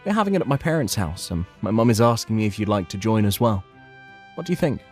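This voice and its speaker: A man voicing a gentle, considerate young husband in a manga dub, speaking softly and reassuringly. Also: fairly low pitch, very animated, fast talker, faint music behind. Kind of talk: scripted manga dub acting.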